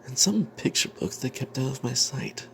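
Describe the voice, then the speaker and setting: A man voicing a greedy, cowardly adventurer cleric, telling a fantasy tale in the first person in a breathy, secretive ASMR whisper with hesitant pauses. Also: medium pitch, animated, fairly bright tone, slight background noise. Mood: sly, hushed. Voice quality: breathy whisper with creaky edges. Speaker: narrator of a tabletop fantasy tale.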